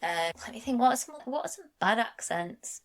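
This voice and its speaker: A woman co-hosting a podcast in a casual chat, chatty and quick to agree and chime in. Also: a little high, lively intonation, a bit bright. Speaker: podcast co-host.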